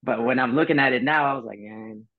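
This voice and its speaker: A man's voice: mid-range voice, fast talker, no room sound. A laid-back man in his twenties, chatting casually as a podcast guest, rambling with lots of fillers. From Philippines. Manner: easygoing, rambling, many fillers. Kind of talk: casual chat. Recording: dull dry sound, faint music.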